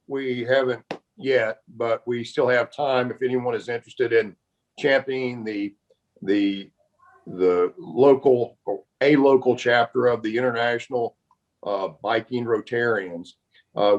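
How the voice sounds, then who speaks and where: A man's voice: mid-range voice, fairly even intonation, measured pace. A man making informal remarks as a club member on a video call with dull, lo-fi audio.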